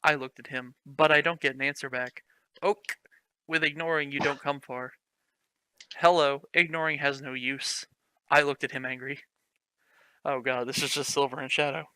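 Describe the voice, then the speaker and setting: A man's voice: mid-range voice, steady tone, normal speed, pauses often, sharp tone, smooth, dry recording, rough recording. A young man reading a fanfic aloud as a comedy host, sarcastic and swearing, mocking the text as he goes.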